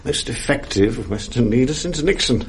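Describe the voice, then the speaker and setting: A man's voice: mid-range voice, expressive, moderate pace, slightly rough. An actor playing a world-weary, middle-aged upper-class Englishman in a radio drama, with an English accent and a wry, urbane, self-mocking manner. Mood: wry, chatty. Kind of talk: 1980s British radio drama acting, intimate naturalistic dialogue. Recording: faint noise on archive radio recording.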